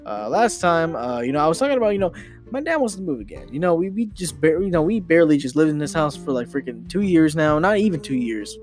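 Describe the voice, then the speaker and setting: A young man hosting a solo podcast, rambling excitably with casual slang and frequent stumbles and restarts. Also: on the high side, fast talker, faint music behind. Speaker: podcast host.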